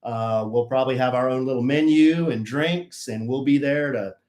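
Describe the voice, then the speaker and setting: A middle-aged man, co-hosting a podcast live stream, easygoing and quick to laugh. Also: mid-range voice, a little mellow. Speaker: podcast co-host. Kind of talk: casual live-stream chat. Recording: roomy echo.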